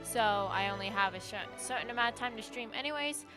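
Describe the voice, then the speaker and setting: Female game streamer: casual, rambling, fairly high voice, faint music underneath. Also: fairly quick, low-quality recording. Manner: informal, rambling. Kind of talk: casual livestream commentary.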